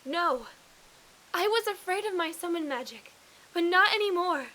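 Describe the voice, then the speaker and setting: A woman's voice: very high-pitched, animated, normal speed, pushed and bright, exaggerated voice, poor audio. A woman playing an earnest, tender-hearted young princess in a fantasy game fan dub, emotional and formally spoken. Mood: pleading.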